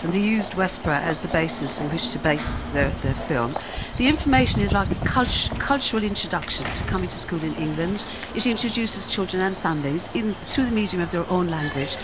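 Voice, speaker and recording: A woman deputy head teacher gives measured, earnest answers in formal language. She talks at a middling pitch in a school playground, with heavy outdoor noise behind her. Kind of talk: interview answers. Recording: loud outdoor background noise.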